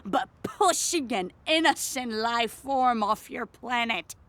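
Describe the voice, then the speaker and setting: A woman playing a haughty, easily outraged alien in a comic sci-fi audio play, with theatrical, drawn-out delivery. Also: a little high, animated, a bit bright. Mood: imperious, indignant.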